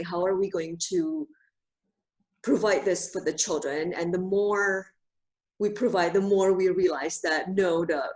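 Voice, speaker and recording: A woman from Indonesia, a Montessori teacher, answering interview questions over a video call, thoughtful and pausing often with fillers. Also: fairly low pitch, darkish tone, low-quality recording. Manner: thoughtful, many pauses and fillers.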